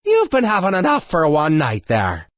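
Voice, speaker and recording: A gruff older barkeep from a fantasy game. His fairly high voice swings in pitch as he talks bluntly and protectively, recorded close and dry. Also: wildly swooping pitch, moderate pace, dry recording. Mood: stern. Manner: blunt, no-nonsense. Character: gruff older male tavern keeper, protective and stern. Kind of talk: video game character acting.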